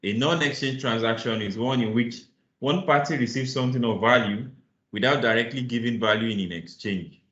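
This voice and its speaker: A man's voice: medium pitch, brisk, a bit bright. A man from Nigeria teaching a live online finance class, patiently encouraging answers and reading definitions aloud over a video call. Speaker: financial modeling instructor. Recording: roomy, slightly muffled call audio.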